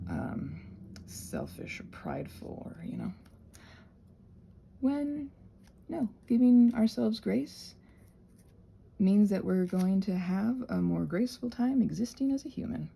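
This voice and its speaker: A woman talks through a hands-on craft in a loose, rambling way, often breaking off to think aloud. Her voice swings widely in pitch and crackles with fry. There is a faint layer of background noise behind her. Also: dark tone. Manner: chatty, self-interrupting, thinks aloud, playfully self-soothing. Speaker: craft and ASMR channel creator, host. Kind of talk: rambling casual craft tutorial.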